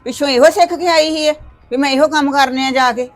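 A sharp, quick-talking aunt, played by a woman, hurls accusations at her niece in a family drama. Her voice sits high and the words come fast. Soft music plays underneath. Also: natural voice. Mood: accusing. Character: scolding meddling aunt, older woman. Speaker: aunt (maasi).

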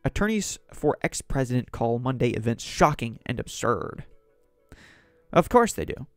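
Solo podcast host, man, going hoarse, rambles through news articles from a desk mic, dry close sound with faint music under. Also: medium pitch, very animated, normal speed, no echo. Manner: rambling, conversational, reads articles aloud. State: sore throat, losing voice. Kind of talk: solo political commentary.

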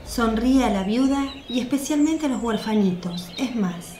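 High-voiced woman narrating, roomy sound. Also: animated, moderate pace, mellow. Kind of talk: scripted radio drama narration. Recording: roomy sound with faint noise. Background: birdsong, outdoor ambience.

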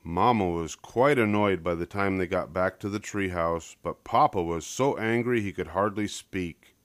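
A man's voice: deep voice, moderate pace, no echo. An older man narrating a children's picture book as a scripted story reading.

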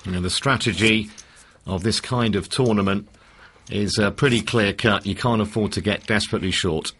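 A man's voice: lowish voice, moderate pace, a bit bright, slightly rough. A man doing play-by-play poker commentary, setting up hands and player background. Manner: sets up hands and gives player facts.

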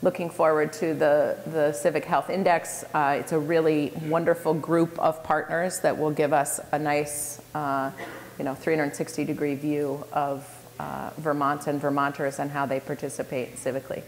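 A middle-aged woman, a state official, speaking earnestly and with measured pauses in a panel conversation at a conference. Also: low-pitched, little pitch movement. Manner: earnest, measured, with frequent fillers. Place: large conference ballroom. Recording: long hall reverb, faint room noise.

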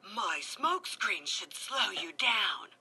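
A woman's voice: medium pitch, big pitch swings, slow, pushed and bright, full character voice. A woman voicing a sneaky male villain tiki who hisses in a whisper. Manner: hissing whisper.

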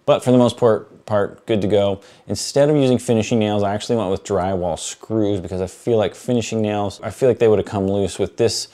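A man in his thirties with a fairly low, dark and relaxed voice. He explains things to camera like a casual vlogger, conversational and matter-of-fact, recorded indoors on a clip-on mic. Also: fairly quick, few pauses. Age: 30s. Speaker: YouTube creator, host. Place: small furnished home basement studio, indoors. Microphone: clip-on lapel mic.

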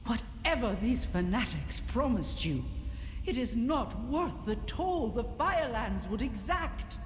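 Stately elven priestess in echoing hall. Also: female voice, on the high side, big pitch swings, a little hiss or hum. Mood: alarmed, reproachful. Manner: stately, grave, measured. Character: solemn, commanding night elf high priestess warrior. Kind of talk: dramatic fantasy game voice acting. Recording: echoey hall reverb, faint music behind.

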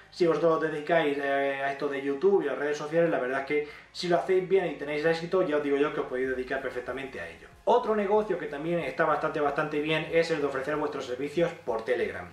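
Fast-talking Spanish man, roomy sound. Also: on the high side, far from the mic. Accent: Spanish from Spain. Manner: chatty, emphatic, gestures a lot. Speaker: YouTuber. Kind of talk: direct-to-camera money-making advice. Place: small indoor room.

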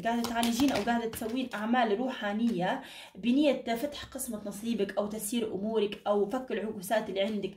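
A woman speaks at a brisk clip in an echoey room, a little away from the microphone. She reads cards informally and reassuringly, with endearments and blessings, her voice somewhat tired. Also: fairly high pitch, darkish tone, far from the mic, a little hiss or hum. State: tired, strained voice. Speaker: card reader, channel host. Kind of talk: informal fortune-telling reading to camera. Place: indoor room at a table.

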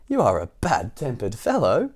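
A man's voice: medium pitch, wildly swooping pitch, moderate pace, husky, exaggerated voice. A man voicing a cheerful, good-natured storybook man who speaks politely, with mild reproof.

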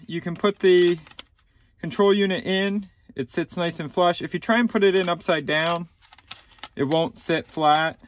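A man's voice: on the high side, a little hiss or hum. Methodical man explaining a car repair. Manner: plain, methodical instructions with frequent ums. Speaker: DIY repair channel host. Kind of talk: step-by-step repair tutorial. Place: inside a car, small enclosed cabin.

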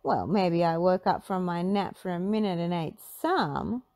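A woman's voice: fairly low pitch, measured pace, a little mellow. A woman voicing a goofy, lazy, easily scared young man with a slacker drawl in a children's storybook.

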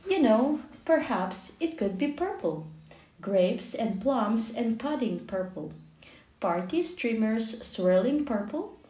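A woman with a fairly high voice reads a children's storybook aloud. Her pace is slow and measured, with expressive phrasing and deliberate pauses. The recording sounds roomy and echoey. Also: slight background noise. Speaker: children's book reader, channel host.

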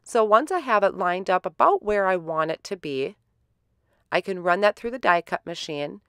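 A woman hosting a card-making tutorial in a relaxed, step-by-step voice-over, a little stuffy from a head cold. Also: lowish voice, clean tone, dry recording, clean studio sound. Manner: relaxed, step-by-step explaining with fillers.

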